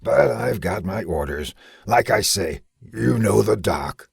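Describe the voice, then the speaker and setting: Guy putting on a raspy talking gorilla, wisecracking and slangy, voice jumps all over. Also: fairly low pitch, animated, slow, dry recording, clean studio sound, exaggerated voice. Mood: wry, confiding. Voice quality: gravelly growl. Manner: slangy, streetwise, mock pidgin then casual. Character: wisecracking educated male gorilla, gruff and sly.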